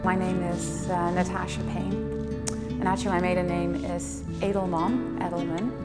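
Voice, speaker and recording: A woman telling her personal story reflectively, unhurried and emphatic, with dramatic pauses. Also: lowish voice, fairly even intonation. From Netherlands. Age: 40s. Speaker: fitness instructor and speaker. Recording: long reverberant hall, music underneath. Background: music.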